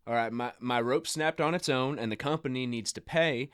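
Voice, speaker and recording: Guy acting a gruff hurt cowboy in a radio drama, kinda halting, super dry close sound. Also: medium pitch, no echo, natural voice. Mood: frustrated. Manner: folksy, plainspoken, halting. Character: gruff injured bull rider, rough-talking but kind. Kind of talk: naturalistic radio play acting.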